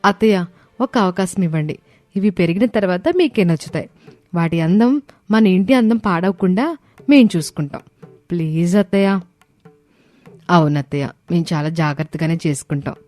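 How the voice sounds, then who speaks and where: A woman's voice: lowish voice, normal speed, pauses often, warm tone, no echo, faint music behind, lightly acted. A woman voicing an earnest, eager young daughter-in-law in a dramatized story. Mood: pleading.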